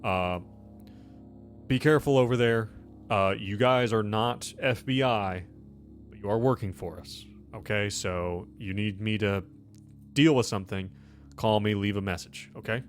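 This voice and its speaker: A man's voice: fairly low pitch, unhurried, soft background music, close to an everyday voice. A man playing a busy government supervisor on a telephone call, giving clipped, hesitant instructions and repeating himself. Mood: hurried, firm.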